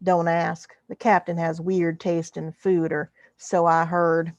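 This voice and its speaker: A woman's voice: fairly low pitch, little pitch movement, slow, a little mellow, dry recording. A woman voicing a wry, folksy alien drifter who narrates a space western story in an exaggerated Texas drawl. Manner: folksy, dry, colloquial. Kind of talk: first-person science fiction story narration.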